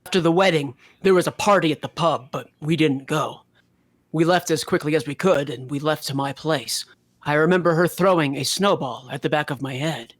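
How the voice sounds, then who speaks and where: A man's voice: on the high side, normal speed, no echo. A man reading a character's lines aloud in a joking group story reading. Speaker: character reader in a group fan fiction reading. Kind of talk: acted dialogue reading with joking asides.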